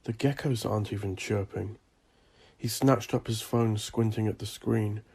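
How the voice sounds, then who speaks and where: A man reading dark fantasy horror as a scripted story, with a measured, deliberate delivery. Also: lowish voice, fairly even intonation, slightly rough. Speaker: audiobook narrator.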